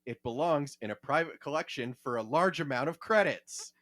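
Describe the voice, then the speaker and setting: A man's voice: on the high side, expressive, moderate pace, a bit bright, no echo. A man co-hosting a podcast, chatting casually in a wry way with frequent swearing. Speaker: podcast co-host.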